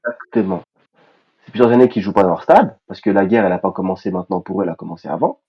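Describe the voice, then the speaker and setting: A man co-hosting a casual football podcast, speaking in a measured way and repeating words for emphasis. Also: low voice, very animated, slightly rough, dull audio. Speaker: podcast co-host.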